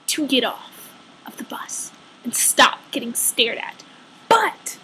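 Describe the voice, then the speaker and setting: A young woman with a very high voice chats through a personal story like a vlogger. She tells it casually and with exaggeration, slipping into little quoted asides as she goes. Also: a bit bright, a little hiss or hum, rough recording.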